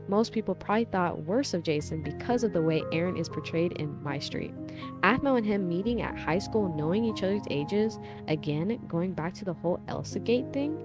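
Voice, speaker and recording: A woman with a lowish voice gives critical, scripted commentary. She speaks as a video commentator, and music plays clearly behind her. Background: background music.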